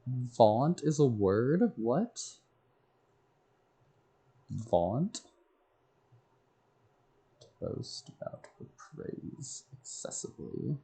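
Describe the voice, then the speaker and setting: A man's voice: mid-range voice, very animated, very slow, creaky, pauses often, warm tone. A man streaming casually, thinking aloud and muttering to himself in short fragments. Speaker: game streamer.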